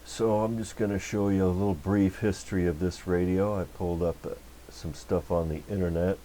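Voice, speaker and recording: A man's voice: low-pitched, fairly even intonation, moderate pace, dark tone, a little hiss or hum. A man talking in an informal, folksy, rambling way as he hosts a casual workbench demonstration. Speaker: host of a radio repair channel.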